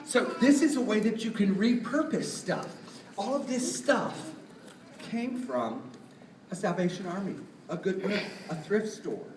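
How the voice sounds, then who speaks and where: A man's voice: high-pitched, big pitch swings, unhurried, background noise. A man, a puppeteer, playfully introducing a live show to children and putting questions to his audience. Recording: echoey room, distant mic, music behind.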